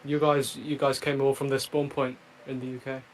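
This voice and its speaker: English-accented male guest, calm and direct, with faint background noise. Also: medium pitch, moderate pace, rough recording. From England. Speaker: podcast guest. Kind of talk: casual podcast chat.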